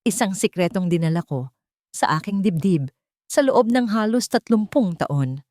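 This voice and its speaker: A woman's voice: mid-range voice, animated, a little slow, a little mellow, dry recording, studio quality, natural voice. A woman voicing an elderly grandmother who confesses a long-kept secret in a broken, tearful voice. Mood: tearful confession. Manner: pleading, confessional.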